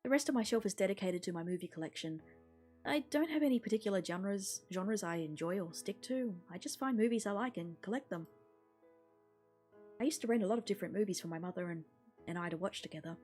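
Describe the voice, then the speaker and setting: A woman's voice: mid-range voice, expressive, fast talker, darkish tone. A woman streaming a visual novel, reading the game text aloud expressively and adding casual, offhand comments. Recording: music playing behind the voice. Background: game music.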